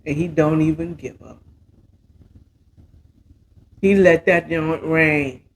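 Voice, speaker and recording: Sassy American man, unhurried, dramatic storyteller. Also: on the high side, fairly even intonation, a little hiss or hum. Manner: dramatic, sassy, drawn-out exclamations and asides. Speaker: host of a story recap show. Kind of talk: casual animated retelling of a romance story.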